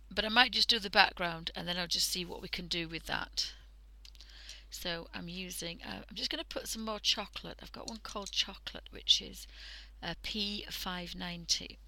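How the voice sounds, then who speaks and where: A woman with a croaky voice from a cold, chatting casually through a drawing tutorial on a headset mic and often dropping into half-whispers. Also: lowish voice, bright, forceful tone, no echo, low-quality recording. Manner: chatty, thinking aloud, drifting into half-whispers. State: hoarse from a cold. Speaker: art livestream host.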